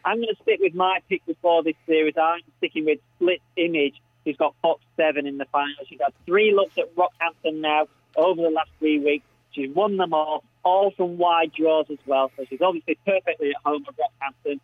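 A man's voice: on the high side, lively intonation, a little fast, no room sound. A man with an Australian accent giving conversational racing tips in a matey, enthusiastic way over a phone line. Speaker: greyhound racing tipster. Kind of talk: conversational racing preview commentary.

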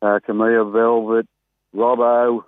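A man's voice: mid-range voice, unhurried, clear, resonant tone, no echo. Australian man on a tinny phone line. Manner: chatty, rambling, excitable about racing. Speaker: greyhound trainer, guest caller. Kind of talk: casual phone interview. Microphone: telephone.

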